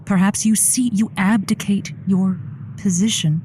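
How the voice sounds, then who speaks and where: A woman playing a sympathetic, encouraging radio advice host on a comedy show, speaking in a deliberate, wry, supportive way. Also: mid-range voice, steady tone, warm tone, slight background noise, natural voice. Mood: wry, counselling.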